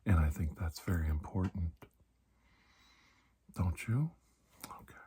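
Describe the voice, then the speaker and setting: A low, mellow male doctor, played by a man in an ASMR roleplay, calm and reassuring. He speaks slowly and deliberately in a soft, breathy voice that often drops toward a whisper, soothing a patient. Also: a little hiss or hum. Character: calm, reassuring male doctor treating alien skin disease.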